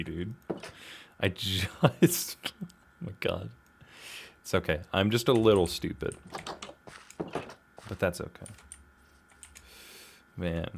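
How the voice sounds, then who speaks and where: A man talks his way through a game. His delivery is casual and slangy, with self-deprecating asides and exclamations, as if thinking out loud for his viewers. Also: fairly low pitch, gravelly, a little hiss or hum. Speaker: gaming video host. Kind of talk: casual gameplay commentary, talking to himself.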